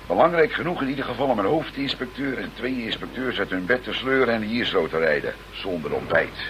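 Deep-voiced grumbling police inspector. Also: male voice, lively intonation, fairly quick, a bit bright, rough recording. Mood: wry. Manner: sardonic, complaining. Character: grumbling British Special Branch police inspector. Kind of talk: radio drama acting. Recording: clear background noise, old radio play.

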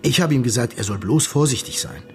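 An actor playing the grave, loyal friend of a murdered man in a radio drama, speaking in an earnest, measured way. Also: medium pitch, expressive, a little mellow, faint music behind, lightly acted. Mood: earnest, firm. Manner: measured, earnest, telling things step by step. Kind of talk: German radio drama dialogue.

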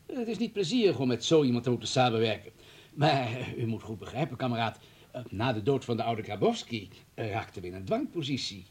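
A husky-voiced male hospital administrator in a radio drama, fussy and eager to please. He is wordy and flustered, with lively, shifting intonation. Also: mid-range voice, a little fast. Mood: self-justifying. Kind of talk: radio play acting.

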